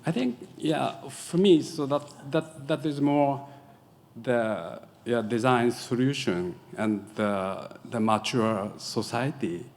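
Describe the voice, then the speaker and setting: A middle-aged man with a Japanese accent gives a conference presentation, haltingly searching for words in English as a non-native speaker. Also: medium pitch. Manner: halting, searching for words, frequent filler "yeah". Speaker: professor presenting design research. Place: lecture hall at a podium. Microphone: podium microphone. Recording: echoey hall, audible background noise.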